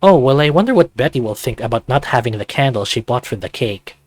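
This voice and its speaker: A man's voice: medium pitch, lively intonation, fast talker, dry recording, natural voice. A man voicing a sarcastic, incredulous teenage guy, the hero of a visual novel, with teasing, questioning delivery. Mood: teasing, indignant. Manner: dry, teasing, exclamatory questions.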